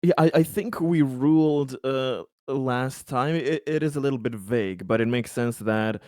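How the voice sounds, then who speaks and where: Guy player on a game call, mid pitch, very dry close mic, kinda hesitant talking rules. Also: normal speed, darkish tone, dry recording. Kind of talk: casual rules discussion.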